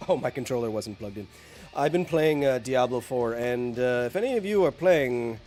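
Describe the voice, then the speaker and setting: A man live-streaming a game, chatting to his viewers in a rambling, jokey way while getting over bronchitis, a little congested. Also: medium pitch. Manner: rambling, jokey, talks to chat.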